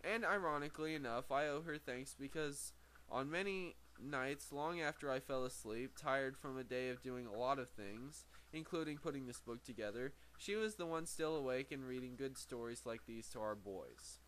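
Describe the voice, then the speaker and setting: Halting man reading a book aloud. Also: mid-range voice, fairly bright tone, poor audio. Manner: halting, stumbles over words and corrects himself. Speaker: amateur book reader. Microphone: very sensitive microphone. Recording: faint background noise, sensitive microphone.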